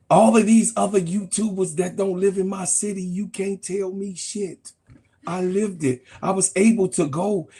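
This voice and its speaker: Middle-aged man, sports host, argumentative and profane, husky voice pitched high. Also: darkish tone. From Chicago, USA. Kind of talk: heated casual sports rant.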